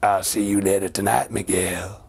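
A storyteller voicing a small male killer Santa doll that taunts its victims in a slow, low, mocking singsong. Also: level tone, vocal fry, darkish tone, slightly rough, heavily acted. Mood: sinister.

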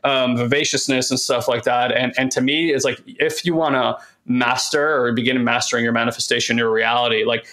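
Bassy-voiced man rambling on a podcast. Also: a little fast, few pauses. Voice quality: monotone, bassy voice. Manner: rambling, enthusiastic, swears casually. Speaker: podcast co-host. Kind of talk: casual conversation.

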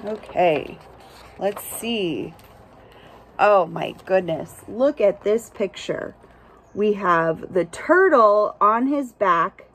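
A young adult woman reading a picture book aloud to children outdoors, with expressive, chatty asides and simple questions for young listeners. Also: medium pitch, very slow, slight background noise. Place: outdoors under a shelter among pine trees.

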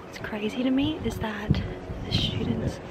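A woman's voice: a little high, measured pace. A young woman in her twenties talking straight to a handheld camera as a casual travel vlogger, chatty and upbeat. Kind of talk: casual vlog narration. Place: outdoors on city streets, some indoor rooms. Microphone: camera held at arm's length. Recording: street noise and traffic behind.

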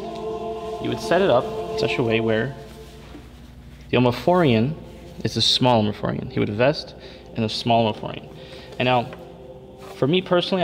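A man's voice: mid-range voice, creaky. Patient man explaining step by step. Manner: patient, step-by-step, repeats himself with frequent "okay?" checks. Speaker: church server demonstrating vestment setup. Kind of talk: hands-on instructional demonstration. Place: church interior at a table. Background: background music.

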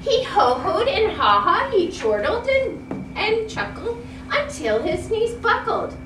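Older female storyteller: theatrical, high-pitched, very unhurried, classroom read-aloud from a distance. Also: big pitch swings. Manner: theatrical, emphatic. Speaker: retired reading teacher. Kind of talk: expressive children's read-aloud. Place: school classroom. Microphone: distant camera. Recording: roomy, noisy classroom, distant mic.

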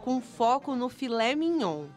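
A woman's voice: high voice, sing-song, measured pace, darkish tone. A woman reading scripted TV news narration as a reporter. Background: background music.

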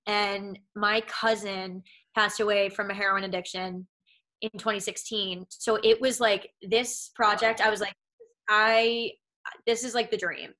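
Bright-voiced young woman, Boston accent. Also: medium pitch, low-quality recording. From Massachusetts. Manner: rambling, heavy use of "like" and "um". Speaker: actress guest. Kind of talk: casual interview chat. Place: home.

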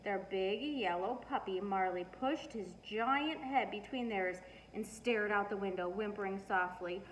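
A middle-aged woman reading a children's storybook aloud with expressive, emphatic storytelling. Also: mid-range voice, a little slow. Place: living room, indoors. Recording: noisy living room recording.

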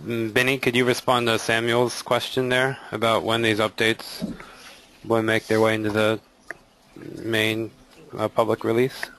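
A man's voice: lowish voice, monotone, measured pace, a bit bright, rough recording. A man hosting an online meetup, politely passing questions on to the speakers. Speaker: meeting host.

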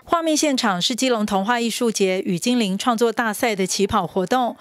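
A woman's voice: a little high, brisk, slight background noise. A woman with a Taiwanese Mandarin accent narrating a scripted news report as a reporter.

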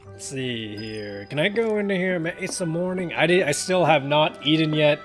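A young man casually commenting on a game he plays, animated, joking, swearing and rambling. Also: fairly high pitch, normal speed. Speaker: gaming video host. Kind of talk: casual let's play commentary. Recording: voice over game audio with music.

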